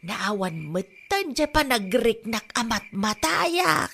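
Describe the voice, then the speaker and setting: A woman's voice: on the high side, sing-song, slow, gravelly, bright, forceful tone, a little hiss or hum, heavily acted. An actress with an Ilocano accent playing a cranky, melodramatic elderly mother who sells avocados in a radio comedy drama. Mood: weak, plaintive. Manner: grumbling, melodramatic.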